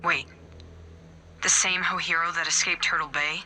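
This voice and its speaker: An actress playing an alert female mech officer in a military video game, with a brisk, urgent manner. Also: lowish voice, fairly even intonation, bright, forceful tone, soft background music. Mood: surprised. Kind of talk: video game cutscene acting.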